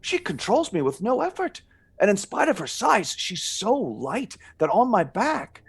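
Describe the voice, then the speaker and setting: A man's voice: sits high, very animated, measured pace, vocal fry, slightly rough, exaggerated voice. A man playing a bold, determined brother in a radio play, decisive and dramatic in his delivery. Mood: amazed. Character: bold, determined brother plotting against a witch.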